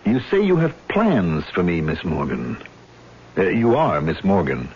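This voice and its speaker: Young man: rattled skeptic, stammering, highly animated intonation, muffled old radio drama sound. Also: measured pace, exaggerated voice. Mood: puzzled. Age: young adult. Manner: stammering, incredulous. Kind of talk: vintage radio drama acting. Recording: muffled vintage radio recording with hiss.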